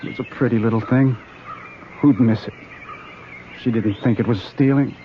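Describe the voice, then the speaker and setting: A man's voice: medium pitch, fairly even intonation, normal speed, close to an everyday voice. An actor in a radio drama playing an anxious young scientist, urgent and jumpy as he presses his worries. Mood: low, troubled. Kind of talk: old-time radio drama acting. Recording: dull, noisy old radio recording.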